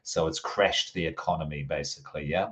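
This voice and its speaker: A man's voice: extremely low pitch, steady tone, brisk, a bit bright. A man from Australia and New Zealand with an Antipodean accent, chatting casually on a podcast panel and often tagging statements with a questioning "yeah". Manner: repeats short agreeing words, ends statements with "yeah?". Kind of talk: casual chat.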